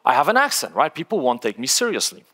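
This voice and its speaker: Polish guy in his 30s giving a stage workshop, bright punchy voice, big hall echo. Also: mid-range voice, quick pace. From Poland. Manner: energetic, conversational, frequent "right?" tags. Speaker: speaker. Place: large conference stage with audience. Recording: long hall reverb.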